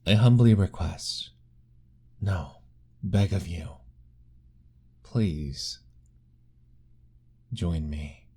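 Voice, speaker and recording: A man voicing an ancient, lovestruck male vampire lord in an ASMR roleplay, formal and soft-spoken, pleading gently. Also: sits low, animated, drawn-out words, pauses often, breathy edge, full character voice.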